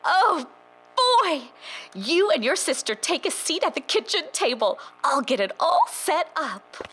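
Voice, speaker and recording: A woman's voice: sits high, a little slow, exaggerated voice, poor audio. A woman playing a chirpy, oblivious, fussing housewife mother in a stylized horror drama, drawing out her words sweetly. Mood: eerily cheerful. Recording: steady background noise and hum.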